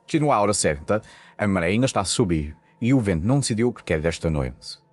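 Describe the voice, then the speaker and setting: Man acting weary, low-voiced old lighthouse keeper. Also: animated, quick pace, dry recording. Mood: weary, cryptic. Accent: European Portuguese. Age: older. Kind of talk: audio ghost story acting.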